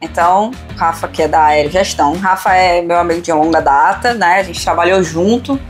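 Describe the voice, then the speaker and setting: A woman's voice: lowish voice, fairly quick. A woman hosting a casual podcast chat in an informal, teasing manner. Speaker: podcast host. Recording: music playing behind the voice. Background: music.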